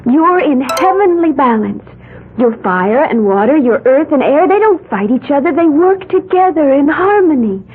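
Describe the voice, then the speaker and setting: An actress playing a dreamy, eccentric young woman in an old radio drama, musing earnestly and gently. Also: high voice, sing-song, normal speed, narrow, tinny audio. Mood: admiring. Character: dreamy, eccentric young woman, self-styled priestess of the elements. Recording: thin, band-limited old radio recording with hiss.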